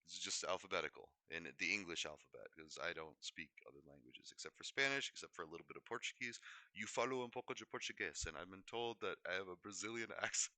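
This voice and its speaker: Man, low pitch, sharp bright tone, rambling unscripted livestream talk, self-correcting, dry close sound. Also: quick pace, no echo. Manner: hesitant, digressive, self-correcting mid-thought. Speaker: livestream host.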